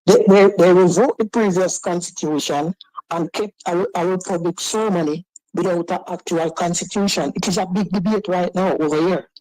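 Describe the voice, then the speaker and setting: A man's voice: sits high, steady tone, normal speed, darkish tone, no room sound, rough recording. A man calling in to a talk show, defending his point with lots of repeats and stumbling restarts. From Jamaica. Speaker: caller. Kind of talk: phone-in debate.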